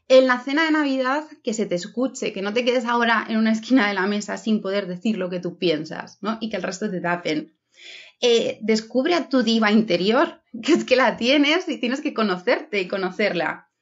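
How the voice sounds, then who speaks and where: A woman's voice: on the high side, moderate pace. Chatty woman on a dull video call. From Spain. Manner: chatty, encouraging, many fillers. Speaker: keynote speaker. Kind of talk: conference keynote over video call. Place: home room with red curtains. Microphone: webcam video call.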